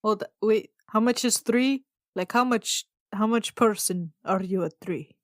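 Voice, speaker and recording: A woman's voice: medium pitch, unhurried, no echo, clean studio sound. A woman chatting casually and improvising in character as a player in a tabletop role-playing game.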